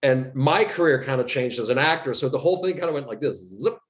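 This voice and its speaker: Swiss-accented man telling animated stories. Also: medium pitch, fast talker. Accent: Swiss German. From Switzerland. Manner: animated storyteller, acts out quoted dialogue. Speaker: actor guest. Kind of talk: casual interview chat.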